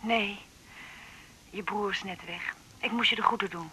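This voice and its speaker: A woman's voice: mid-range voice, moderate pace, sharp tone, a little hiss or hum, lightly acted, poor audio. An actress playing a guilt-ridden, fretting middle-aged mother in a naturalistic 1980s radio drama. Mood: guilty, fretful.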